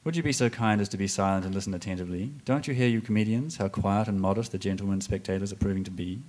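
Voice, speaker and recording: A loud, mocking prologue speaker with a low voice, played by a man at a live stage reading. He scolds and jeers in a broad theatrical manner, laughing scornfully. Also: fast, close to an everyday voice. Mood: scolding. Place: live performance space with audience.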